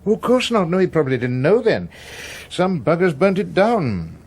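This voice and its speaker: An actor in a radio drama playing a shrewd, wary senior Scottish politician with a dry, blustery manner. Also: male voice, on the high side, sing-song, moderate pace, breathy edge. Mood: gossipy regret.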